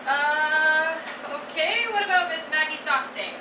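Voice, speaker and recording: Lady playing ditzy showy teacher in a musical, high voice, echoey and far from mic. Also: measured pace. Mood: bossy. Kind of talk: theatrical staged musical reading. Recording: roomy distant stage recording, loud hiss.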